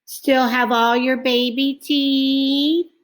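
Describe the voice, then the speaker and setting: Bossy storybook schoolgirl, played by a woman, high and emphatic, a taunting show-off. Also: little pitch movement, drawn-out words.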